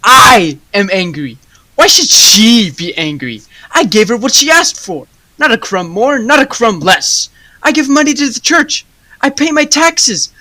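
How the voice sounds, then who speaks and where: A teenage actress playing a proud, stingy male baker in a high school radio play, with an emphatic, scolding delivery. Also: sing-song, a little slow, sharp tone, exaggerated voice. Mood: irritated, bossy.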